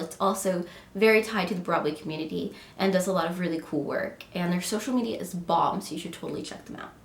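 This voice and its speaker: A young woman talks to her camera in a bubbly, chatty way, peppering her speech with "um" and "like". She has a cold, so she sounds a little stuffy, and her mid-pitched voice rings in an echoey room. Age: young adult. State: nasal from a cold. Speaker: vlogger. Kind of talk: casual vlog chat.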